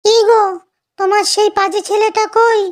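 A man's voice: very high-pitched, level tone, normal speed. A man voicing a nagging, jumpy village stepmother in a cartoon. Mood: scornful. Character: scornful, nagging village stepmother, easily frightened. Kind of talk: Bengali cartoon dialogue.

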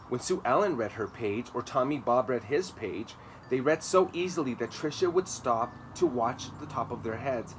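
A man's voice: medium pitch, a bit bright, poor audio. A man in his thirties reading a children's story aloud with emphatic, dramatic phrasing in a garden. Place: outdoor garden patio, seated. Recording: clear ambient noise behind voice.

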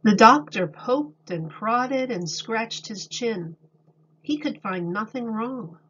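A woman reading a picture book aloud to children like a teacher, deliberate and expressive. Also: fairly high pitch, husky. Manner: deliberate, expressive, explains words for young children. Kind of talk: read-aloud storybook narration. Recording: dull lo-fi recording.

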